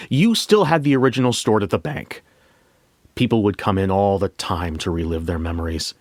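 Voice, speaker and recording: A man narrating a scripted first-person story as a bank manager, in a measured, reflective way with deliberate pauses. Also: on the low side, no echo. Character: bank manager recounting a memory heist.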